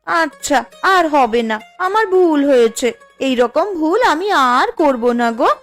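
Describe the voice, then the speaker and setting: A woman's voice: sits high, expressive, measured pace, faint music behind. A woman voicing a boastful village housewife in a cartoon story, with a showy, wheedling, homely way of talking. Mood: meek. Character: boastful, envy-stirring village housewife, sweet to her husband. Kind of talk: Bengali cartoon story dialogue.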